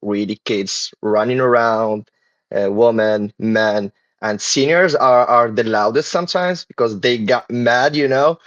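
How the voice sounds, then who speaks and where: A man's voice: medium pitch, unhurried, fairly bright tone, dry recording. Moroccan man hosting a podcast, hesitant. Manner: hesitant, many fillers and restarts. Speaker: podcast host. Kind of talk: casual podcast discussion. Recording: dull lo-fi dry sound.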